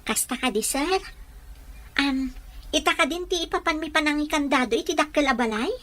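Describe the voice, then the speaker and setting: A woman's voice: high-pitched, moderate pace, sharp tone. A woman acting a deferential, anxious household servant in an Ilocano audio drama.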